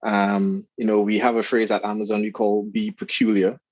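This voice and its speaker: A man from Trinidad and Tobago with a Trinidadian accent, a guest talking in a relaxed, friendly interview with plenty of fillers. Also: fairly low pitch, moderate pace, no echo, dull audio. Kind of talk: casual interview conversation.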